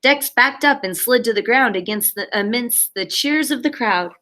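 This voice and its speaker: High-voiced woman teacher reading to children. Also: moderate pace, bright, forceful tone. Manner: simple, encouraging, addresses children directly. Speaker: primary school teacher. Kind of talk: expressive read-aloud and talk to young children.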